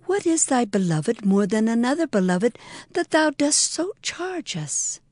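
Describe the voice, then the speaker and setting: A woman's voice: fairly high pitch, big pitch swings, measured pace, darkish tone, no room sound, studio quality. A woman playing an inquisitive companion of a bride in a dramatized scripture reading, questioning and theatrical, with music behind. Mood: curious questioning. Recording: music under the voice.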